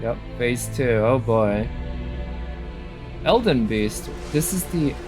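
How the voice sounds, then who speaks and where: A man with a mid-pitched voice commentates casually as he livestreams a game. He talks slowly, thinking aloud and posing questions to himself. Prominent game music plays behind his voice. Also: lively intonation. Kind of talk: casual live gameplay commentary. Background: loud video game music.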